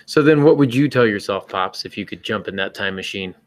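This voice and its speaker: A man's voice: fairly low pitch, animated, a little fast. A man hosting a casual podcast chat in a joking, bantering way. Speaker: podcast host.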